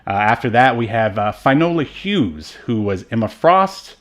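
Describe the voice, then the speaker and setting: A man's voice: medium pitch, sing-song, normal speed, a bit bright. A man co-hosting a casual movie review podcast, rambling and hesitant with frequent asides. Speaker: podcast co-host.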